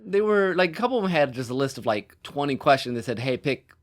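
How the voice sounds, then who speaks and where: A man's voice: medium pitch, big pitch swings, fast. A man co-hosting a podcast as an author, chatting casually in a rambling, self-deprecating way.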